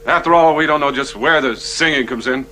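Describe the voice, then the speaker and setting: A man's voice: medium pitch, brisk, noisy background, lightly acted. An actor playing a nervous, anxiously agreeable townsman in a 1960s television drama. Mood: anxious caution. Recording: old TV soundtrack, music and crowd behind.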